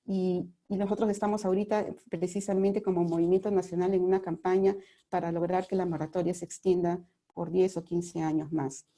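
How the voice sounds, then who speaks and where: Mellow-voiced woman closing a webinar talk. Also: lowish voice, little pitch movement, a little fast. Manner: earnest, appealing to the audience. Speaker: guest speaker. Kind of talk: webinar presentation. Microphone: video call.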